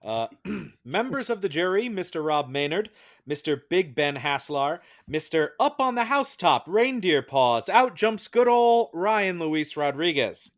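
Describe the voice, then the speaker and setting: Slightly high-voiced man presiding as mock judge. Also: very animated, a little slow. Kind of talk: semi-formal comic hosting.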